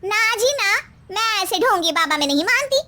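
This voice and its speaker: A cheeky young daughter-in-law in a cartoon tale, put on by a woman answers back with sass. The voice is very high, lifted above ordinary narration. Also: animated, moderate pace, bright, forceful tone, full character voice. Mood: defiant.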